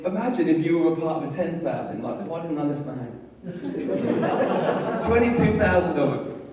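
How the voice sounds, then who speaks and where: A man preaching a lively, jokey sermon, telling a story with voices and getting the congregation to join in. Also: a little high, normal speed. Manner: jokey, animated storytelling with audience participation. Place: large hall with an audience. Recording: echoey hall, far from mic, crowd.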